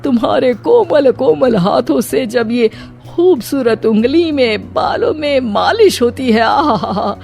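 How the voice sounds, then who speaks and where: A performer voicing an older father-in-law in a cartoon story, a teasing husband with theatrical, drawn-out calls. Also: sits high, animated, creaky, a little mellow, soft background music, heavily acted. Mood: wheedling, flattering.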